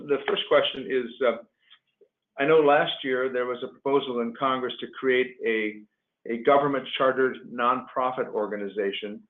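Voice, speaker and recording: Male moderator, mid-range pitch, measured and halting formal questioning, roomy echo on telephone-grade audio. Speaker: webinar moderator. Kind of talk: formal policy interview questioning. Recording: echoey, tinny phone-like line.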